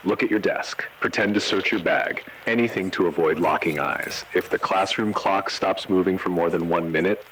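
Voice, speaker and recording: Deep grave announcer guy man acting it, eerie horror warnings, bit of noise behind. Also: fairly bright tone, rough recording. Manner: measured, ominous, short solemn sentences. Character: grave male emergency broadcast announcer issuing eerie warnings. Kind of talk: analog horror scripted narration.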